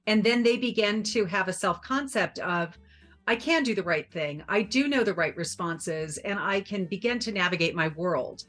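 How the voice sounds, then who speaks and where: Calm middle-aged woman giving parenting advice. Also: medium pitch, moderate pace, faint music behind. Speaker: parenting expert guest. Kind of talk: TV talk show interview.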